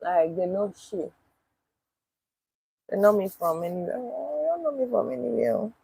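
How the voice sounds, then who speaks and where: A woman's voice: mid-range voice, steady tone, unhurried, vocal fry, dark tone, breathy edge, dry recording, rough recording. A young woman, live-streaming casually and answering chat comments bluntly, with swearing. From Nigeria.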